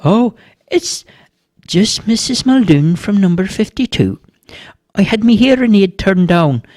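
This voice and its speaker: A man's voice: high voice, expressive, measured pace, darkish tone, pitched above the performer's own voice. A man voicing an older, hard-of-hearing Irish woman neighbour, chatty and apologetic, in a storybook. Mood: apologetic, gossipy.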